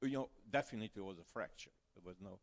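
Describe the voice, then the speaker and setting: A man's voice: on the low side, fast talker. A man from Romania with a Romanian accent, giving a conference presentation in careful, slightly broken English. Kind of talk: academic conference talk.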